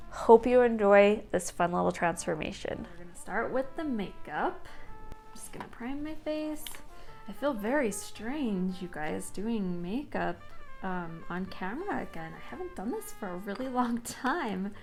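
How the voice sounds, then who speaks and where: A young woman chatting casually while she does her makeup, telling stories in an easygoing, friendly way. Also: mid-range voice. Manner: chatty, warm storytelling with light laughs. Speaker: vintage lifestyle vlogger. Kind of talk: casual vlog chat while doing makeup.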